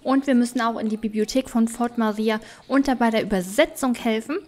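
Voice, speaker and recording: A woman's voice: a little high, a little fast, a little mellow. A woman gaming YouTuber giving casual, chatty let's play commentary, thinking aloud as she plays. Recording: music behind the voice.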